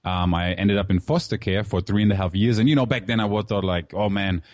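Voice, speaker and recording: A man in his thirties who grew up in Germany, talking through his life story, candid and loose, full of "you know" and "man." His voice sits low, and the sound is close and dry with no room around it. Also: fairly even intonation, a little fast, no room sound. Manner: candid, filler-heavy, calls host "man". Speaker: guest entrepreneur. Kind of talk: casual interview storytelling.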